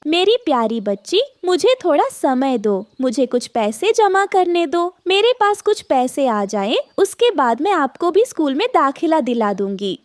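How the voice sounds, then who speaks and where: A woman voicing a gentle, poor widowed mother bird in a cartoon, speaking politely and earnestly. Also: sits high, big pitch swings, unhurried, few pauses, dry recording, studio quality. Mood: tender.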